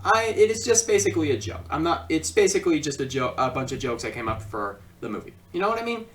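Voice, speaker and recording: Rambling amateur reviewer, lively pitch, off-mic. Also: fast talker, distant mic. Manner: rambling, repeats himself, stumbles over phrasing. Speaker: film review host. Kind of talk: casual amateur video review.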